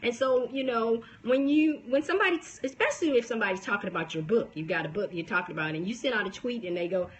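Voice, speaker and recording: A woman's voice: fairly high pitch, fast talker, hardly any pauses, poor audio. A woman in her forties with a youthful baby voice, chatting animatedly on a phone live stream about promoting books, with lots of restarts and laughs. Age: 40s. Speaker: book promotion coach and podcaster. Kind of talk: casual live-stream chat.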